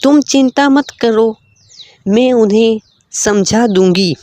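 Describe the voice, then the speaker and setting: A narrator voicing an earnest young woman, higher-pitched. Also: slow pace, a little mellow, no echo, natural voice. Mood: earnest, reassuring. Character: young woman, landlord's daughter, earnest and lovestruck.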